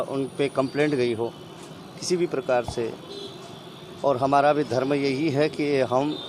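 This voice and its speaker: A man giving a rambling, hesitant answer in a street interview. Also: mid-range voice. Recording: outdoor noise.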